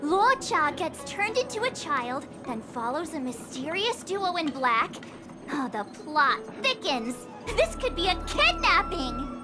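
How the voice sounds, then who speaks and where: An actress voicing a bubbly young woman who loves mystery novels, excitable and theatrical, quick to jump to wild theories, in a video game dub. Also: extremely high pitch, full character voice. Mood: excited. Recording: game cutscene with music behind.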